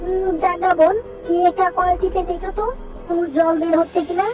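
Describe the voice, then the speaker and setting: Woman doing a little village boy, high voice, earnest and kinda worried. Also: little pitch movement, warm tone, soft background music. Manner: earnest, anxious, quick questions. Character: poor young village boy, worried and brave.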